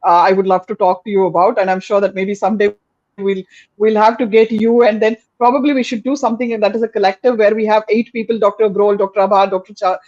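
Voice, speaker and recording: A woman's voice: medium pitch, flat delivery, fast talker, dry recording. Lady hosting a chatty podcast, keeps restarting sentences, dry muffled call audio. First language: Tamil. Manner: warm, rambling, with many restarts. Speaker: podcast host. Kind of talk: casual interview chat.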